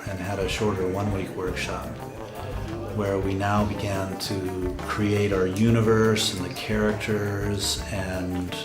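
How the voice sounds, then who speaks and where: A man giving an explanatory, documentary-interview style account of a production, pausing mid-sentence to find his words. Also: deep voice, little pitch movement. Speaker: radio drama project lead explaining the production. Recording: roomy echo with loud music behind. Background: music with guitar.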